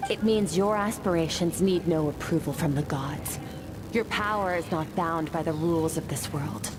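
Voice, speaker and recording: A woman voicing a stern, composed master mentor in a video game, speaking in a measured, solemn, instructive way. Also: low voice, natural voice, rough recording. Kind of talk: scripted video game dialogue. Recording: game dialogue under music. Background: game music.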